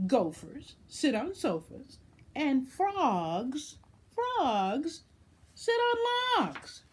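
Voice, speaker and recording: Woman acting out a bossy, slow-talking storybook cat. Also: fairly high pitch, a little hiss or hum, voice pushed up, exaggerated voice. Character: bossy, insistent know-it-all storybook cat.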